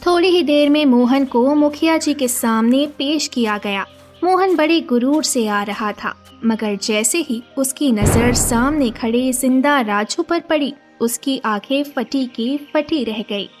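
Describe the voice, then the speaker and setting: A woman's voice: high-pitched, a little slow, faint music behind. A woman narrating a moral tale as a scripted storyteller.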